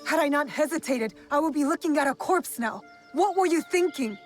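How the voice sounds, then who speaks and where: A woman's voice: high voice, animated, fairly quick, faint music behind. An actress playing a determined young female warrior in a video game, blunt but compassionate, with a direct, probing way of speaking. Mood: stern, shaken. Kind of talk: dramatic video game cutscene acting.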